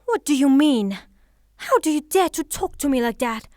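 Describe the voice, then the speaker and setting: An actress playing a proud, passionate young widow in a theatrical audio drama, haughty and dramatic in manner. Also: female voice, high voice, very animated, normal speed, mellow, dry recording, studio quality. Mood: indignant.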